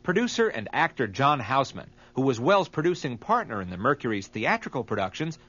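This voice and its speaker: A man's voice: mid-range voice, very animated, brisk, fairly bright tone, breathy edge. A man reading scripted narration as the narrator of a documentary. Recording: slightly dull, lo-fi audio.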